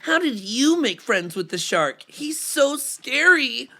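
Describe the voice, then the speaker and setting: Woman putting on a gossipy, mocking fish, high and exaggerated. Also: big pitch swings, slow pace, pushed and bright, full character voice. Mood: incredulous. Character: mocking, gossipy, easily scared storybook fish.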